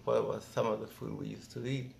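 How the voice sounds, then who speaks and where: A middle-aged man from Mexico reminiscing casually in an interview, thinking aloud in halting phrases with false starts. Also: on the low side, little pitch movement, gravelly, slight background noise. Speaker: interviewee, former migrant worker.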